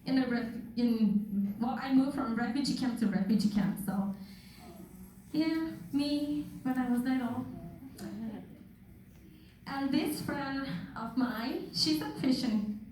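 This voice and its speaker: A young woman from Thailand giving a personal testimony with slides, speaking slowly and earnestly with soft laughs, recorded from far off in a church hall. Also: high voice. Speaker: guest speaker. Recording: echoey hall from far off, faint noise.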